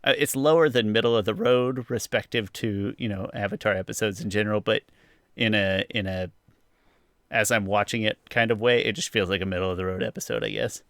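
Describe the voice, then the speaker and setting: Male podcast co-host, lowish gravelly voice, dry sound, casual rambling review chat. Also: steady tone, a little fast, fairly bright tone, dry recording.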